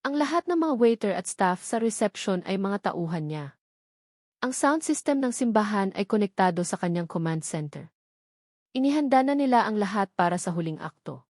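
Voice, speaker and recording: A woman's voice: mid-range voice, a little mellow, dry recording. Woman narrating dramatic fiction, dry sound. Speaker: story narrator. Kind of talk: scripted dramatic romance narration.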